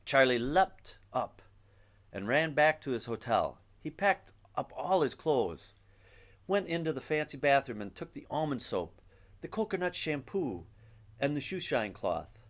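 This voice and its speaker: A middle-aged man reads a picture book to children from his living room. His mid-pitched voice moves up and down freely, with dramatic, expressive storytelling. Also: a little slow. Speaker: storybook reader. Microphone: webcam at about arm's length.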